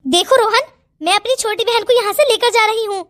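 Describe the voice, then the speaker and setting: A woman's voice: very high voice, a little fast, fairly bright tone, a little hiss or hum. A woman voicing a stern, protective elder cousin who scolds and commands in a cartoon family melodrama. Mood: stern, commanding. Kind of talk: melodramatic cartoon story acting.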